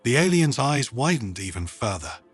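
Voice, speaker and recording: A man narrating a humorous science fiction story in an expressive, scripted storytelling style. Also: mid-range voice, normal speed, husky, soft background music.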